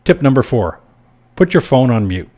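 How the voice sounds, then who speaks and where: A man hosting a short advice podcast, reading scripted tips in a measured, instructional manner. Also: mid-range voice, big pitch swings, mellow.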